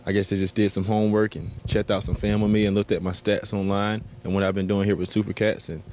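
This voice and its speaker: A man with an American accent and a fairly low voice gives casual interview answers. His phrasing is hesitant and thoughtful, and there is some ambient noise behind him. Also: level tone, fast, a little mellow. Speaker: professional basketball player. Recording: clear background ambience noise.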